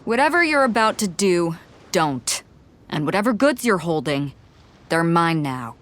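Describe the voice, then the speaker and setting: A woman's voice: lowish voice, big pitch swings, a little slow, fairly bright tone, a little hiss or hum. A woman voicing a cocky, commanding pirate captain in a video game, curt and teasing, with music behind her. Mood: firm, threatening. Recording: background music under the voice.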